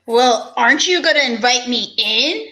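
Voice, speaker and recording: A woman playing a slow-talking male police inspector, a coaxing and theatrical suitor in an old-time radio show. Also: on the high side, expressive, pushed and bright, poor audio. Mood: suave, pushy.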